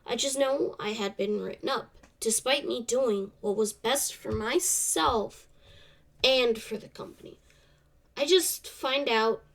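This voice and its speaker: Slow, congested young woman podcaster. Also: fairly high pitch, creaky. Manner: slow, halting and soft-spoken, trailing off. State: congested from a cold. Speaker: podcast host. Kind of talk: solo podcast monologue. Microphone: camera off to the side.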